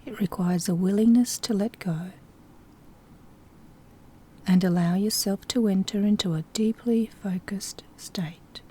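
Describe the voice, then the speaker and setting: Soft spoken lady doing sleep hypnosis, whispery n breathy, dark mellow tone, gentle instructions. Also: medium pitch, unhurried, pauses often. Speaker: hypnosis guide.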